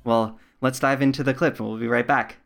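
A man with a medium-pitched voice hosts an interview. He sounds conversational and attentive, affirming points and guiding the talk along. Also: fairly even intonation, fairly quick, gravelly. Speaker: podcast host, interviewer.

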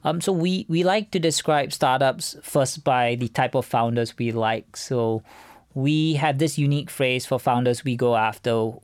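A man's voice: medium pitch, a little slow, dry recording. Businesslike man explaining in a dry room. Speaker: venture capital fund co-founder, interview guest. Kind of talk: interview answers, explanatory.

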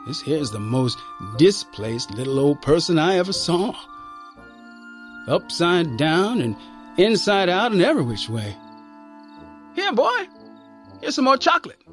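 A big, rough-but-kindly army sergeant talks in a folksy drawl, as a male storyteller puts him on. His voice rides high and leaps around, booming one moment and coaxing the next. Faint music sits under him. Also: huge pitch swings, measured pace, pauses often. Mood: tender, coaxing. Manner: folksy drawling dialect, booming then gentle.

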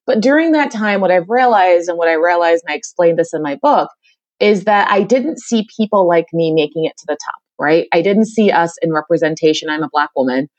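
A woman's voice: lowish voice, expressive, fairly quick, a little mellow, no room sound, dull audio. A middle-aged woman from the Caribbean, talking energetically as a podcast interview guest and often repeating her phrases. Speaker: guest, diversity consultant.

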